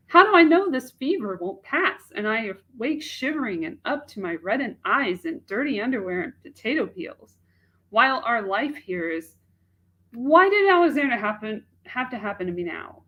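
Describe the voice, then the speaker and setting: An anxious young woman torn between love and her settled life, as a woman reads her cold. She speaks in a breathless, searching rush of questions, often high and lifted. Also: a bit bright, slightly rough, exaggerated voice, poor audio. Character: young woman agonizing over a marriage proposal. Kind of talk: dramatic monologue cold reading.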